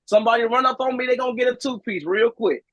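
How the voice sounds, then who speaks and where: A man in his thirties on a video call, talking in a teasing, animated and emphatic way. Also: very high voice, fast talker, no room sound. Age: 30s. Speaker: meeting participant. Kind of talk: casual chat.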